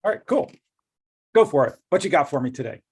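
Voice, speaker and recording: A man's voice: on the high side, very animated, brisk, a little mellow, breathy edge. A middle-aged man hosting an interview, jokey and upbeat, rambling as he talks about his career. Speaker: host, company executive. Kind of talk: casual interview talk.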